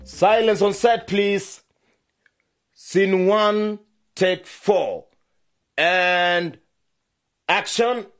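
A man's voice: high-pitched, very slow, pauses often, a bit bright, no room sound, soft background music, exaggerated voice. An actor playing a busy, slightly patronising male film director in a radio drama, explaining things emphatically. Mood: commanding.

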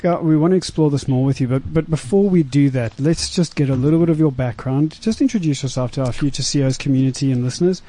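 A man hosting a conversational studio interview, haltingly repeating words as he searches for phrasing. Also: mid-range voice, dark tone, low-quality recording. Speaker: radio show host. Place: radio studio.

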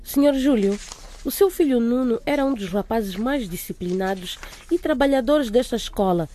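An actress playing a firm but kind school principal, speaking in a calm, authoritative manner. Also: female voice, a little high, moderate pace, lightly acted. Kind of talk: radio drama acting.

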